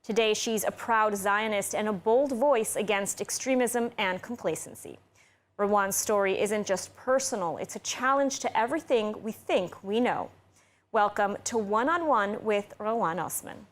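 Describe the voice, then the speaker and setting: A woman's voice: medium pitch, normal speed. A woman hosting an interview series, giving a polished scripted introduction and asking questions. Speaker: host.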